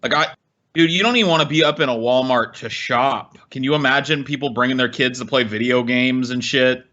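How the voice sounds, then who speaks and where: A man's voice: medium pitch, brisk, sharp tone, highs cut off. A man ranting casually as a podcast co-host, blunt, exasperated and full of swearing.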